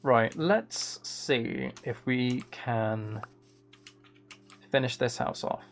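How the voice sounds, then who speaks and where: A man with a mid-pitched voice talks casually as he streams a game. He rambles a little, hesitating and questioning his own thoughts. Background noise is clearly audible, along with typing and clicking. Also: very slow. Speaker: livestream host. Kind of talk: casual gaming livestream chat.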